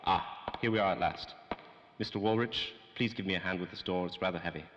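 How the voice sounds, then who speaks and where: A man acts a self-important doctor of science from a 1930s radio serial. His low voice has a sharp, forceful edge, and he rattles off formal, pedantic phrases quickly. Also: highs cut off. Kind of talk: 1930s old-time radio drama acting.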